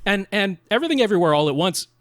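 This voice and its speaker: Guy podcaster w a highish voice, really up and down, rambly opinionated chat, super dry sound. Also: very fast, no room sound. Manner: animated, rambling, self-deprecating. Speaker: podcast co-host. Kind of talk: casual opinionated film chat.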